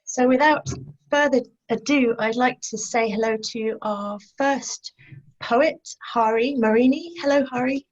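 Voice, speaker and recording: A woman's voice: on the high side, a little slow, muffled recording. A woman hosting a literary session, introducing the speakers in an organized way over a video call. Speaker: festival session host.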